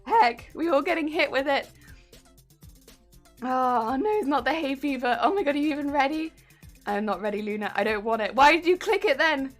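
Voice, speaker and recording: A woman's voice: sits high, animated, normal speed, faint music behind. Giggly woman with a weak, warbly voice. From United Kingdom. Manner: chatty, giggly, greets chat cheerfully. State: congested from hay fever. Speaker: streamer. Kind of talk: casual chat while crafting. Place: home craft room.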